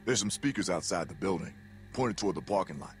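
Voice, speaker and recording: A sceptical survivor in a game drama. His voice is fairly low yet lively in pitch, and his manner is blunt, practical and challenging. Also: quick pace, faint music behind. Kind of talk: dramatic video game acting.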